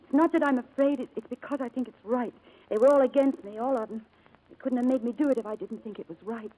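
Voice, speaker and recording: A woman's voice: on the high side, fast talker, dark tone, natural voice, low-quality recording. An actress playing a devoted, spirited young woman in a 1940s radio drama, speaking in an earnest, pleading and effusive way. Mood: anguished, pleading. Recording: old radio broadcast with music behind.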